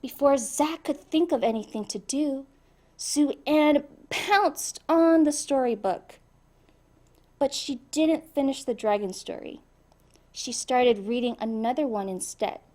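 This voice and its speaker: A woman reading a children's storybook aloud in an expressive way, addressing young listeners. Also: sits high, a little slow. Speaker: storybook reader. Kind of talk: animated children's read-aloud.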